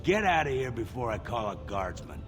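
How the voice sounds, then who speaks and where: A man's voice: medium pitch, very animated, fairly quick, music in the background, natural voice. A man playing a stern, indignant dwarf of authority in a video game, with a curt delivery. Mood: threatening. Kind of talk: scripted video game dialogue.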